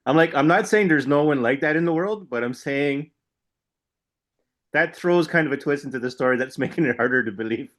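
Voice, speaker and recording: A man's voice: fairly high pitch, a little fast, fairly bright tone. A man co-hosting a podcast in casual chat, skeptical and loose, chuckling as he rambles. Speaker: podcast co-host.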